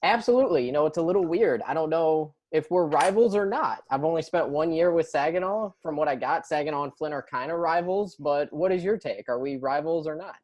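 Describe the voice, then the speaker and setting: A man's voice: on the high side, a little fast, hardly any pauses, darkish tone, no room sound. A man hosting a conversational interview podcast, speaking close to the mic. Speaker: podcast host.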